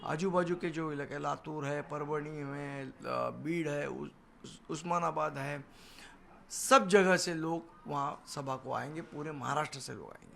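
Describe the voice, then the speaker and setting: Male party spokesman, higher-set voice. Assertive news-interview answers, light ambient noise. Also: fairly even intonation, unhurried, gravelly, fairly bright tone. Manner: assertive, defiant, emphatic repetition. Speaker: political party leader and spokesman. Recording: noisy location sound, background ambience.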